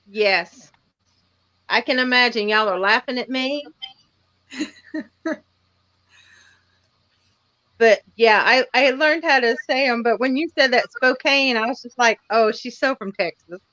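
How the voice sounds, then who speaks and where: A woman's voice: fairly high pitch, bright, forceful tone, dry recording, muffled recording. A woman in her forties with a Tennessee accent, chatting casually and in a folksy way as a livestream co-host. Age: 40s. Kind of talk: casual chat.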